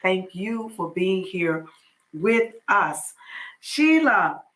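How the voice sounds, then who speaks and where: A woman's voice: mid-range voice, very animated, drawn-out words, fairly bright tone. A woman in her fifties hosting a podcast, reading a guest introduction and then chatting playfully and effusively. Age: 50s. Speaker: podcast host.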